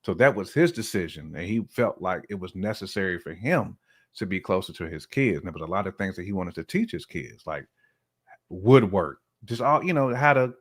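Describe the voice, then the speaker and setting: A middle-aged man with a fairly low voice talks as a guest in a casual relationship discussion. He explains his thoughts reflectively, in everyday colloquial wording. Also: fairly bright tone. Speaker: podcast guest.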